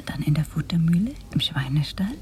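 A woman's voice: sits low, lively intonation, fairly quick, a little hiss or hum. An actress playing an enigmatic young woman working for a syndicate, coolly cryptic and teasing with menace, in a German radio drama. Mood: coolly threatening. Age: young adult.

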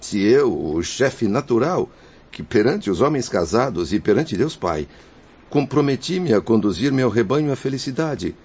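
A man's voice: fairly low pitch, darkish tone, breathy edge, exaggerated voice. An actor playing the guilt-ridden, grateful spirit of a father in a radio soap, speaking in a solemn, declamatory way. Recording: dull lo-fi radio drama recording.

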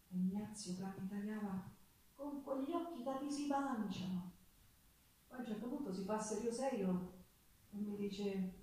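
A woman's voice: medium pitch, a little hiss or hum. Echoey Sicilian woman storyteller. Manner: earthy, expressive storytelling. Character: passionate Sicilian woman folk singer recalling her life. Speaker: stage actress and singer. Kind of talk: theatrical monologue with folk singing. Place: theatre stage with live guitar. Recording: echoey theatre stage recorded from far off.

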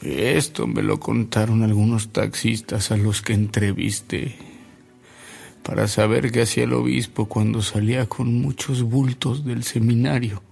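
An actor playing a humble, repentant older gentleman in a radio drama, telling fond anecdotes in a gravelly, husky voice. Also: fairly low pitch, level tone, unhurried, faint music behind, heavily acted. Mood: humble, reflective. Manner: hesitant, savouring, acts out quoted dialogue.

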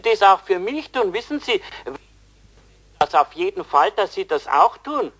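A man's voice: high voice, very animated, measured pace, a bit bright, heavily acted. An actor playing a chatty, muddled, oblivious man on the telephone, rambling and interrupting himself in a comic German radio play. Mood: eager, rambling. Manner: rambling, hesitant, self-interrupting.

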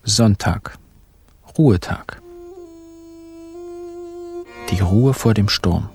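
An actor playing a wry young narrator of a radio crime comedy, in clipped, ironic diary entries. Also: male voice, fairly low pitch, very slow, darkish tone. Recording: music under narration.

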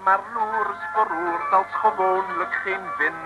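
A man's voice: high voice, steady tone, slow pace. A man performing the rhymed, comic theme song of an old radio serial. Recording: dull old recording with loud music.

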